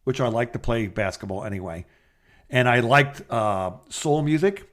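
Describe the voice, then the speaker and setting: A middle-aged man co-hosting a podcast, telling anecdotes in a casual, halting and rambling way. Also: medium pitch, lively intonation, a bit bright. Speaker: podcast co-host. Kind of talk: casual phone chat, storytelling.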